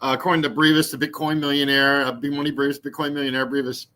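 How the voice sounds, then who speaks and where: A man's voice: mid-range voice, little pitch movement, fast talker, bright, forceful tone. A man in his sixties talking casually on his channel, meandering with many fillers and restarts and mocking with laughs. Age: 60s. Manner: mocking, laughs at own jokes. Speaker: YouTube channel host. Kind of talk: rambling casual vlog talk.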